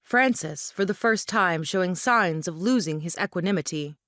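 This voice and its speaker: A woman's voice: lowish voice, animated, moderate pace, fairly bright tone, no room sound, studio quality. A woman reading scripted narration and stage directions for an audio play.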